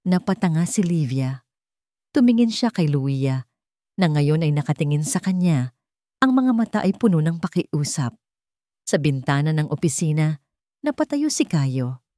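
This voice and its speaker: A woman's voice: low-pitched, sing-song, moderate pace, pauses often, mellow, no echo, clean studio sound. A woman giving a scripted, dramatic narration of a sentimental love story.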